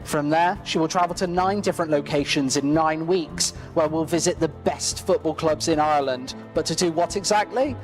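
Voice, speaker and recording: A man's voice: on the high side, normal speed, few pauses, faint music behind, low-quality recording. A man reading a scripted promotional voice-over. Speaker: promo narrator.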